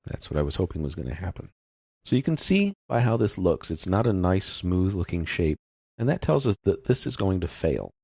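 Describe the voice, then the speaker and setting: A man narrating a step-by-step software tutorial as an instructor, explaining in an informal, unscripted way. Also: sits low, sing-song, normal speed, gravelly, breathy edge, dry recording, studio quality.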